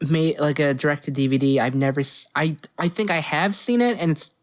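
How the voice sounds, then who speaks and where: Low voiced lady podcast co-host, blunt n sweary, just chatting. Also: a little fast. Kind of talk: casual movie chat.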